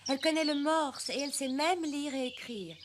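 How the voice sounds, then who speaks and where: Woman as a haughty, high-voiced cartoon animal diva. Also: normal speed, background noise, natural voice. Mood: proud. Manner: theatrical, haughty. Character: grand, flirtatious female circus performer animal, proud diva. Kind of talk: French animated film dialogue.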